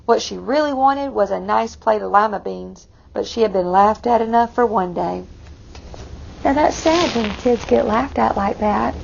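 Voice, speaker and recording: A woman reading a picture book aloud to children, expressively, pausing to explain it in simple asides. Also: fairly high pitch, vocal fry, lo-fi sound, a little hiss or hum. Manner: expressive, explains the story to young listeners. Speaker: read-aloud storyteller for children.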